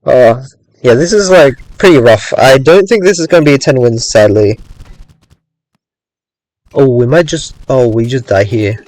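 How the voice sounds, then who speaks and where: A young man giving casual, rambling commentary on his own gameplay, thinking aloud with lots of "like"s and a voice gone a little raspy from hours of talking. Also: medium pitch, a little slow, pauses often, a little mellow, no echo, low-quality recording. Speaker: gaming streamer.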